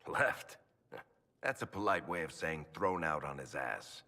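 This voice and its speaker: An actor playing a blunt, gruff fantasy game companion, crude and deadpan in his sarcasm. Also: extremely low pitch, a little slow, heavily acted. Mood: scornful. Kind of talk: video game cutscene acting. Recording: long hall reverb.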